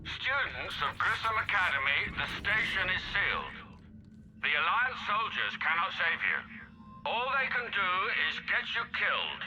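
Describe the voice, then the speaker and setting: An actor playing a menacing enemy soldier in a video game, coldly and formally urging people to surrender. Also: male voice, sits high, sing-song, normal speed, sharp tone, a little hiss or hum, full character voice, poor audio. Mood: coldly threatening. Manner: cold, formal, coaxing. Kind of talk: video game villain announcement.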